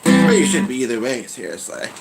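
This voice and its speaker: A man chatting casually at home between songs, rambling and trailing off in a soft voice. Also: medium pitch, big pitch swings, a little fast, creaky. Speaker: host and singer. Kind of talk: casual chat during a music session. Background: loud guitar and piano music.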